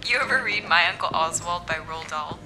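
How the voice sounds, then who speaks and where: A woman's voice: lowish voice, fairly quick, natural voice. An actress playing a bookish young woman, dry and teasing, in a naturalistic radio drama. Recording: music under the dialogue.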